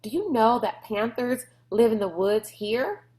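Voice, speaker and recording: A woman's voice: on the high side, animated, measured pace, dark tone, voice pushed up. A woman voicing a headstrong, bossy young girl in a storybook, insistent and emphatic. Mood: eager.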